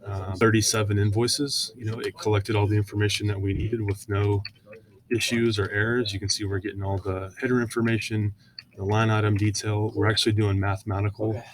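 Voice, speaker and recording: A man presenting software in an informal, conversational screen-share walkthrough, often hesitating with fillers as he explains each step. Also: lowish voice, little pitch movement, brisk, a crowd in the background.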